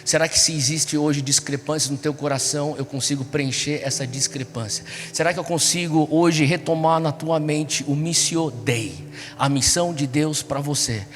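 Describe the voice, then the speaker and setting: A man's voice: a little high, moderate pace, a little hiss or hum. A Brazilian man preaching to a young congregation, exhorting them with repeated rhetorical questions. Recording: echoey large hall, music behind. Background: music.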